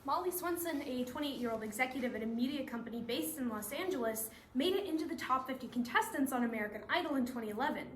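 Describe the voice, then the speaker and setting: A woman performing scripted narration as a brisk, factual news reporter in a readers theatre piece. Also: high voice, expressive, a bit bright. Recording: echoey room, faint background noise.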